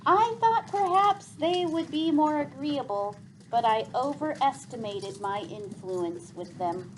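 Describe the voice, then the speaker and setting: A woman reading the part of a weary, gentle old man in a storybook, speaking formally and with measured care. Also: high-pitched, expressive, a little hiss or hum, pitched above the performer's own voice, rough recording. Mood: regretful.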